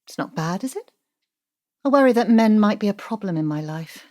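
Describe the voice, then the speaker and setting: A woman's voice: medium pitch, animated, brisk, darkish tone, close to an everyday voice. An actress playing a pragmatic, ambitious female student in an audio drama, dry and matter-of-fact. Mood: cool, guarded. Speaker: actor.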